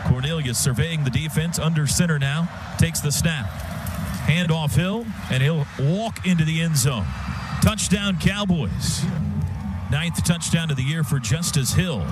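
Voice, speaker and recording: A man's voice: on the high side, unhurried. An American man calling live football play-by-play on the radio in clipped, vivid phrases. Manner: clipped, vivid phrases that build to excited calls. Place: stadium radio booth. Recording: loud stadium noise behind broadcast.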